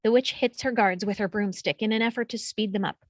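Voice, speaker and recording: A woman's voice: medium pitch, steady tone, fairly quick, no echo. A woman reading out screenplay action descriptions as scripted narration. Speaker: stage directions reader.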